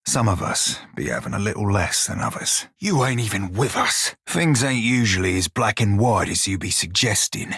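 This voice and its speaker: Swaggering fantasy pirate for a game: husky, lowish, salty old-time sea talk, wry and loyal. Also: male voice, lively intonation, moderate pace, hardly any pauses, a bit bright, no echo, studio quality, heavily acted. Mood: reflective. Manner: archaic nautical pirate dialect, swaggering. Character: salty blue-skinned pirate shiphunter, gruff, wry, loyal. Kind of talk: video game character voice lines.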